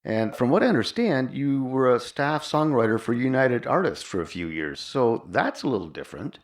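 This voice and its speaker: A man's voice: mid-range voice, very animated, normal speed. A man hosting a podcast and interviewing a guest conversationally.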